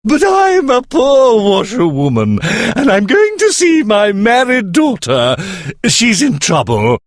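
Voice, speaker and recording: A man voicing a male toad who pretends to be a poor, pleading old washerwoman in an exaggerated storybook falsetto. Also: huge pitch swings, takes it slowly, exaggerated voice. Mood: plaintive.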